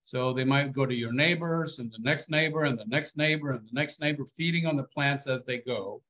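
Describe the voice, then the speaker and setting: A man in his sixties, born in Sweden, giving a relaxed, joking talk over a video call from a home office, with many fillers and restarts. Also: mid-range voice, brisk, rough recording. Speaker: guest speaker.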